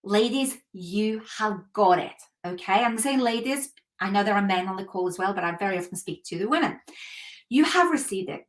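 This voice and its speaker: A woman's voice: medium pitch, a bit bright. Earnest woman coaching a live call. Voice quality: clears throat often. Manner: earnest, emphatic motivational talk with rhetorical questions. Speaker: host of a coaching webinar.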